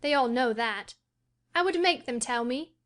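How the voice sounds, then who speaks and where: Woman narrator voicing a spoiled invalid boy of ten, high-pitched, imperious and cross. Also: expressive, measured pace, fairly bright tone. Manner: demanding, cross and indifferent. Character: sickly spoiled ten-year-old boy, fretful and imperious. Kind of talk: audiobook character voice.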